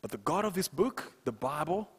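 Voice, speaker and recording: A male pastor delivers a sermon with emphatic, deliberate phrasing. His voice sits a little high and has a husky grain. It rings with long reverb in a church hall, picked up by a headset microphone. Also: sing-song. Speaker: pastor, preacher. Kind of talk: sermon to a congregation. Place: church stage, indoors.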